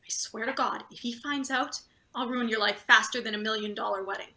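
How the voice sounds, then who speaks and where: A woman's voice: a little high, brisk, sharp tone. A woman playing a ruthless, irritable boss in a play reading, curt, accusatory and sarcastic. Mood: threatening, irritated. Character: ruthless, irritable female boss, sharp and threatening. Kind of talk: live table read of satirical stage play.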